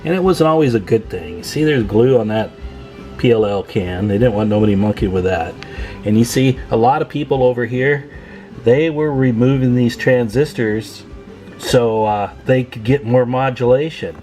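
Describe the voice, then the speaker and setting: A man with a medium-pitched voice hosts a casual hands-on demonstration. He talks like a folksy repair technician, in colloquial language, with chatty asides to the viewer. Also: moderate pace, dark tone, soft background music. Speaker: radio repair technician, video host.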